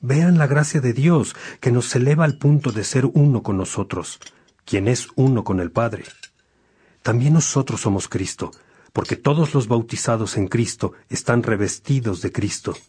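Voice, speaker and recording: An actor playing a devout, gracious bishop in a radio drama, preaching to his brothers in an earnest, measured way. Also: medium pitch, darkish tone, breathy edge, close to an everyday voice. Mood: earnest, reverent.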